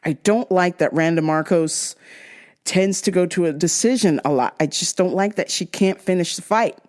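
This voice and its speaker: A woman hosting a casual podcast, giving fight picks in a crude, joking, animated way. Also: fairly low pitch, normal speed. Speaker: podcast host.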